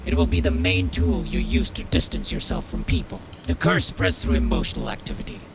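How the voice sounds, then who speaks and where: A man's voice: low voice, wildly swooping pitch, brisk, vocal fry, background noise, exaggerated voice. An actor playing a stern, jaded military sergeant in a game, cold, contemptuous and authoritative as he drills a recruit. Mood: instructive, stern. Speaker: game character voice. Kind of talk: scripted game dialogue.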